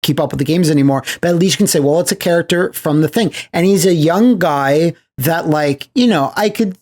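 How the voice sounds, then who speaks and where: A man's voice: a little high, brisk, darkish tone. A man co-hosting a podcast, chatting casually and animatedly about movies, often acting out imagined reactions. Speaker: podcast co-host.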